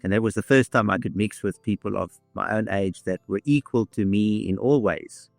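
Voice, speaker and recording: A man, telling his life story in a measured way with small pauses. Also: fairly low pitch, creaky, no echo, faint music behind. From South Africa. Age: 60s. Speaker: interviewee. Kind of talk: reflective storytelling.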